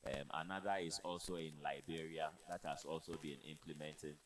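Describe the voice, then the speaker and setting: A man with a Ghanaian accent giving measured, formal remarks as a panelist, pausing often as he speaks. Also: a bass voice, fairly even intonation. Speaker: conference panelist, health quality expert.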